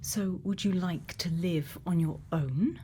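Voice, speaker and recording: A woman playing a gentle, quietly kind scientist in a soft, often whispered ASMR roleplay, soothing and reassuring with many pauses. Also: lowish voice, slight background noise. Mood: gently curious. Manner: slow, soothing, pausing, reassuring. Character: gentle female scientist, quietly kind, programming a robot friend.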